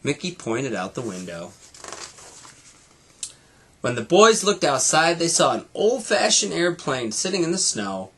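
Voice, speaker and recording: A man reading a children's storybook aloud in an animated way, like a teacher to young students. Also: a little high, a little slow, pauses often, slightly rough.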